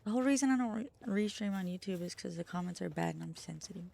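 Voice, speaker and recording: A woman's voice: fairly low pitch, expressive, fast, creaky, dark tone, a little hiss or hum. A woman VTuber streamer chatting casually with her chat, swearing often and poking fun at herself. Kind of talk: casual livestream chat, answering chat.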